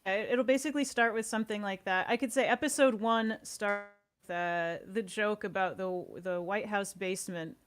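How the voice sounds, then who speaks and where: A woman's voice: medium pitch, fairly even intonation, normal speed. A woman talking casually as an interview guest, chatty and informal. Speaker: podcast guest, audio series co-creator.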